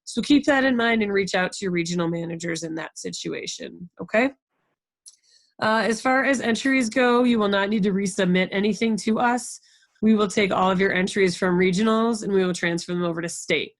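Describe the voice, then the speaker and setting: A woman's voice: mid-range voice, fairly quick, no echo. American woman briefing coaches, dry close sound. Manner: encouraging, checks in often with "okay?". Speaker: sports program coordinator leading a conference call. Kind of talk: informal instructional briefing to coaches. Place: online conference call.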